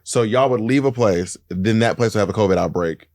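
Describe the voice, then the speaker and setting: A man co-hosting a podcast in casual chat, teasing and prodding with quick questions. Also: fairly low pitch, darkish tone, no room sound, studio quality. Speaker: podcast co-host.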